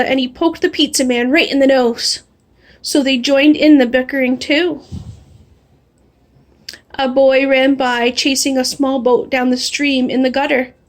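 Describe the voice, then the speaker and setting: A woman with a high voice reads a children's storybook aloud. Her delivery is animated and expressive, shaped for young listeners. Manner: animated, drawing out refrains slowly. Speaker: storybook reader. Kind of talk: expressive read-aloud of a children's picture book.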